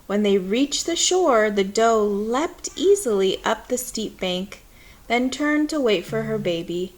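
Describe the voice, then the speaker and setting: A woman reading a children's picture book aloud in a measured, expressive storytelling manner. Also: on the high side.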